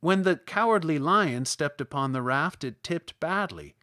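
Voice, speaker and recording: A man narrates a storybook as an audiobook. His voice sits a little high and moves in animated swings. The sound is dry and close. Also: a little slow, dry recording.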